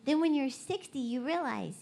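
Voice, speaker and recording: A woman's voice: sits high, expressive, moderate pace, gravelly, a little mellow. A woman with a Southern US accent giving a bubbly, folksy, conversational motivational talk to a live church gathering. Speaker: guest motivational preacher. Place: large indoor church event.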